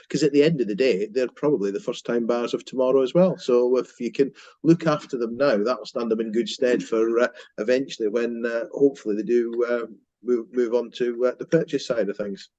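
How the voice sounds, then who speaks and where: A man's voice: mid-range voice, brisk, dark tone, no room sound. Scottish guy in his 50s chatting about his career, lots of uhs, kinda muffled dry audio. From Scotland. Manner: hesitant, many fillers and restarts. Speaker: mortgage broker, company director. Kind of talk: business interview, casual storytelling.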